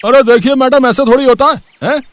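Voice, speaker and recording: A man's voice: extremely high pitch, brisk, no echo. A man playing an aggrieved, argumentative auto driver in an acted storybook dialogue. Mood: indignant.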